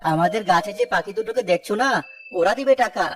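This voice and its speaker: A woman's voice: mid-range voice, expressive, fast talker, fairly bright tone, close to an everyday voice. A woman playing a greedy, irritable village man in a children's cartoon. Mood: pleased, impatient.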